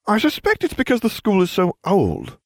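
A showy young wizard, played by a male reader, raised above the reader's usual pitch and stretching out grand, pompous declarations. He sounds theatrical and scheming, with a lively melody. The recording is dry and close. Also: normal speed, no echo, exaggerated voice. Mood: chatty.

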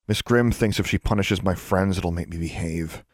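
A man's voice: sits low, moderate pace, no room sound, clean studio sound. A man voicing a headstrong, sullen young schoolgirl witch in a story, defensive and prone to muttering. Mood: apologetic.

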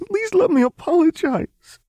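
Audiobook role: earnest young woman in love played by a man, raised pitch, halting delivery, dry recording. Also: expressive, dark tone, no room sound. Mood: pleading. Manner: halting, pleading. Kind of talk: audiobook character voice.

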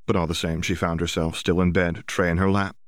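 A man's voice: deep voice, level tone, brisk, no echo, studio quality. A man reading scripted literary fiction as an audiobook narrator.

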